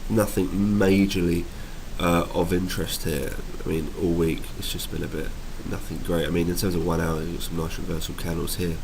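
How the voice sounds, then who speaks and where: A man with a very deep voice walks through trading charts in loose, rambling commentary full of ums. He has a cold and sounds stuffy and sniffly. The home recording carries clear background noise. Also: little pitch movement, brisk, hardly any pauses. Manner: casual, rambling with many ums. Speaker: market analyst. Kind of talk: screen-share market commentary.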